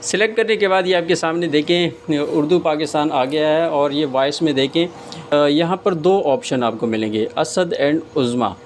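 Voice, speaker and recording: A man giving a casual step-by-step screen tutorial as host, often pausing hesitantly mid-sentence. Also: fairly high pitch. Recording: clear background noise, room ambience.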